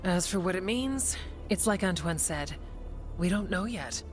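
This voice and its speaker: An actress playing a steadfast, practical warden in a fantasy video game, matter-of-fact and resolute. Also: female voice, on the low side, animated, unhurried. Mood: reassuring. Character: steadfast, practical female warden, dutiful and reassuring. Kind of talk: video game dialogue acting. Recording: game music underneath.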